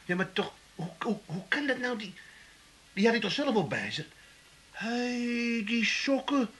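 A man acting a soft-hearted, easily flustered Amsterdam husband in a 1980s comedy radio play, hesitant and repeating himself, with an Amsterdam Dutch accent. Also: very high-pitched, very animated, a little slow, breathy edge, heavily acted. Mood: panicked.

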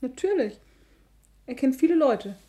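An actress playing a dreamy, defiant sixteen-year-old daughter who answers tersely and evasively in a radio play. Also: female voice, high-pitched, animated, a little slow, warm tone. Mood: defensive.

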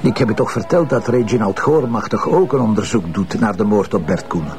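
An actor playing a weary middle-aged police commissioner with a Flemish accent, hesitant and earnest, in a radio drama. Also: male voice, lowish voice, lively intonation, a little fast, darkish tone.

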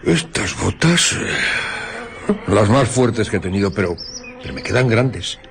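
An actor playing a grumbling, frightened, bumbling tramp in a radio drama, stammering and muttering. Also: male voice, fairly low pitch, very animated, slow pace, creaky, fairly bright tone, slight background noise, exaggerated voice. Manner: stammering, muttering, easily flustered.

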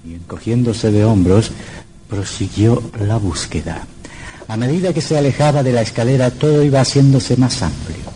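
A man's voice: medium pitch, moderate pace, mellow, a little hiss or hum, poor audio. A man narrating a scripted old radio horror drama in a dramatic, suspenseful manner.

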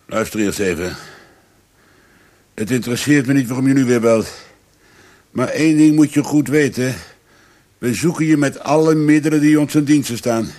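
An actor playing a stern, persistent police commissioner in a radio drama, with a measured, probing, formal manner. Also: male voice, medium pitch, warm tone, no room sound, lightly acted. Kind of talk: Dutch radio drama acting. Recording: faint background noise.

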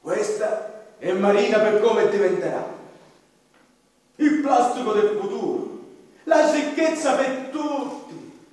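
Boastful politician declaiming grand promises, as a male actor puts him on, pitched high, heard distant in a roomy hall. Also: takes it slowly, pauses often, darkish tone. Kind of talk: stage radio-theatre acting. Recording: echoey hall from far off.

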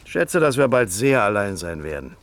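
An actor playing a wary, blunt frontier army scout in a German radio play, given to gloomy warnings. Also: male voice, on the low side, sing-song, normal speed.

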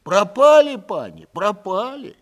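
An actor voicing a whining, evasive peasant estate steward in a literary audiobook, with drawn-out plaintive excuses. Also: male voice, high voice, sing-song, voice pushed up.

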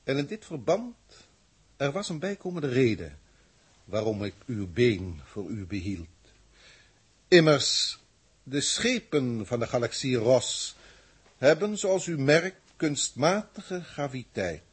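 An actor in a 1960s science-fiction radio drama playing a formal, grandiloquent alien proctor who speaks deliberately and pompously. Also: male voice, mid-range voice, sing-song, husky, heavily acted. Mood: lofty, instructive. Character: authoritative, grandiloquent alien proctor from a galactic federation.

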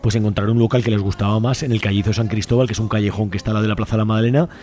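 A man's voice: lowish voice, steady tone, rapid-fire, a little mellow. A man chatting casually on the radio as a library volunteer, talkative and colloquial, often checking for agreement with question tags. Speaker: community library volunteer, interview guest. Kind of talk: casual spontaneous radio chat.

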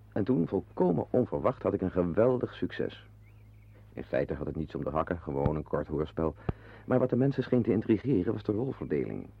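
A man's voice: low voice, creaky, slightly rough, no echo, exaggerated voice. A man playing a weary, wry playwright who narrates a radio play, confiding in listeners in a dry, digressive way. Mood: wry, reflective. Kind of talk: intimate first-person radio play narration. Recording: dull lo-fi radio play recording.

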